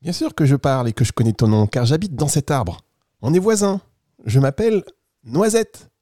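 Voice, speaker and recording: Kindly storybook squirrel, performed by a man: soft, mellow, patient and reassuring, close dry sound. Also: fairly high pitch, big pitch swings, normal speed, no room sound. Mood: gentle, friendly. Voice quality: soft, gentle voice. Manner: patient, explaining simply to a child. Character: kind, reassuring talking red squirrel of children's tale.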